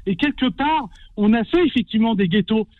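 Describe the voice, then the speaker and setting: A man's voice: sits high, lively intonation, fairly quick, dry recording. A man calling in to a radio debate, apologetic but firm, often repeating his words, heard over a phone line. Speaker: radio caller. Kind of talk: call-in opinion.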